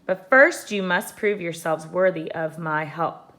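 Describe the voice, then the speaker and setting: A woman voicing a grave male ninja master in a storybook, speaking in terse, measured pronouncements. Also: fairly low pitch, a bit bright. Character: solemn, commanding old-world male ninja master.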